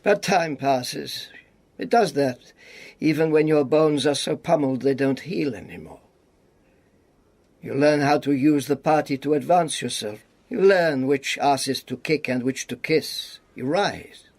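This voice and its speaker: An actor playing a weary, sardonic but affectionate communist spy and battered survivor in a radio drama, with theatrical, deliberate, clipped phrasing. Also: male voice, on the high side, a little mellow. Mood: bitter, reflective.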